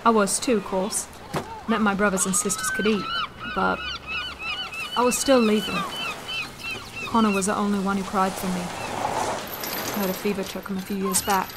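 Wistful servant woman over loud ambience. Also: mid-range voice, huge pitch swings, moderate pace, pauses often, very noisy. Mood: wistful, sad. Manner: plain-spoken, reflective. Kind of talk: audio drama acting. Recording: loud background ambience, honking birds.

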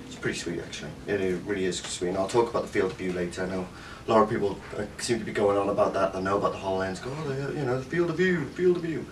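A man in his thirties with a Cardiff Welsh accent, casually reviewing a gadget at home, enthusiastic and rambling with many fillers. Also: fairly low pitch. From Wales. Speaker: tech reviewer. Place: home living room. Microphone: camera mic from a few metres. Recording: distant camera mic, faint room noise.

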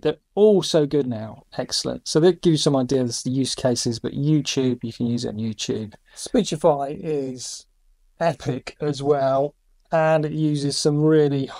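A middle-aged man hosting a casual screen-recorded review, enthusiastic, with quick asides between demos. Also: medium pitch, a little mellow, no echo. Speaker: YouTube host reviewing AI voice tools.

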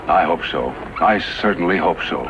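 An actor playing a kindly, thoughtful middle-aged reverend in a 1950s radio western, genial and measured. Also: male voice, sits low, lively intonation. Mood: concerned. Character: kindly, thoughtful reverend travelling west with his grown daughter. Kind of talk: 1950s radio drama acting. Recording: muffled, noisy old radio recording.

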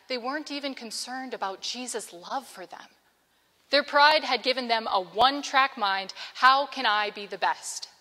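A young woman vicar delivers an earnest, measured sermon from a large church sanctuary. Her voice sits at a middle pitch, and her words carry a long church reverb behind them. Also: bright, forceful tone. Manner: earnest, measured preaching with storytelling asides. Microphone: lapel mic.

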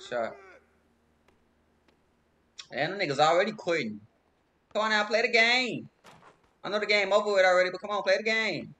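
Loud, lively young streamer trash-talking. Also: male voice, high voice, normal speed, long pauses. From Texas. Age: young adult. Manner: loud, profane trash talk at the game. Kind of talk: live gameplay commentary. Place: indoor room at home. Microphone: headset.

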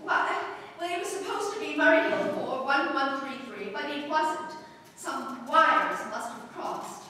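High, fretful invalid wife played by a woman. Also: animated, a little slow, sharp tone, heavily acted. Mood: horrified, agitated. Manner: anxious, theatrical, faltering. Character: nervous, fretful invalid wife alone at home. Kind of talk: old-time radio drama acting. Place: small stage hall before an audience. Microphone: distant camera. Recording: distant echoey hall, hissy.

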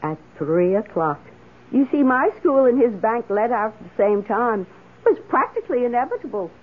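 A woman's voice: fairly high pitch, sing-song, moderate pace. An actress playing an elderly, wry and tipsy woman with theatrical 1970s radio drama delivery. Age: older. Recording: muffled old radio broadcast.

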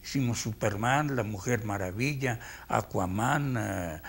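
A man in his eighties with a Mexican accent, a veteran animator recalling his career haltingly in an interview. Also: lowish voice, slightly rough. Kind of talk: reminiscing interview. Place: indoor room, close interview shot.

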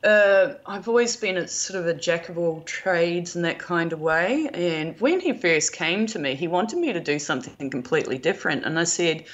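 A woman's voice: on the low side, lively intonation, moderate pace, hardly any pauses, muffled recording. A middle-aged woman with a New Zealand accent, chatting casually and telling anecdotes as an interview guest. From rural New Zealand. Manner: chatty, anecdotal, quotes conversations. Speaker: podcast guest, film producer and prop maker.

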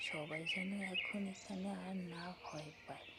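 A woman playing a solemn, reassuring motherly village woman in a cartoon fairy tale, with measured speech. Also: lowish voice, fairly even intonation, lightly acted. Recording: very noisy, birdsong and clicks behind.

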